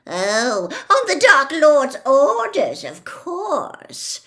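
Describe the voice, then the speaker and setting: A haughty, fanatical witch, as a woman reader puts her on in a storybook. Her voice is raised and loud, drawling with mockery and ruthless scorn, swinging widely in pitch. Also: huge pitch swings, drawn-out words, full character voice. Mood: mocking scorn. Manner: mocking, haughty, cackling. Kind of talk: audiobook character voice.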